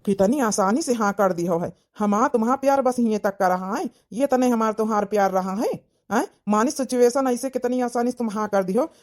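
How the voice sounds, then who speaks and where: A woman's voice: fairly high pitch, a little fast, a little mellow, natural voice. A woman playing a wounded, pressing young village suitor in a cartoon drama, pleading insistently with an Awadhi accent. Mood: hurt, pleading. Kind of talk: cartoon comedy-drama dialogue in village dialect.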